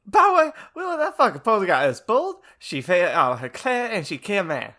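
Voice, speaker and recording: A woman voicing a lively, crude teenage goth girl in a comic story reading, exaggerated and slangy. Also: medium pitch, brisk. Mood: gleefully crude.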